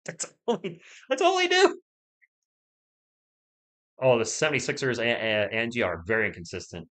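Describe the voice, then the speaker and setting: A man's voice: mid-range voice, big pitch swings, normal speed. A man hosting a casual live stream from a home setup, chatting with viewers over a laptop mic. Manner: chatty, talks to chat, loud bursts when testing. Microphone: laptop or desk mic.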